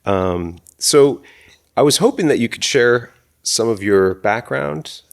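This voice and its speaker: A man interviewing a guest, asking gentle, halting questions. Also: medium pitch, lively intonation, creaky. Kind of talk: casual interview talk.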